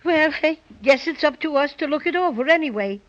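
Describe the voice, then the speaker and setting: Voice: sits high, animated, fairly quick, fairly bright tone. A performer playing a small, plucky, self-important toy bear in a 1930s children's radio drama. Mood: bossy, huffy. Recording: dull vintage 1930s radio recording.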